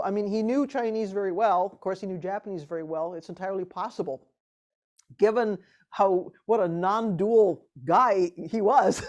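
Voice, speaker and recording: Woman leading a retreat over a video call, chatty, laughs a lot, mid pitch. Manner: animated, laughs often, many restarts. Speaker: retreat teacher. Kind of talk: casual teaching talk.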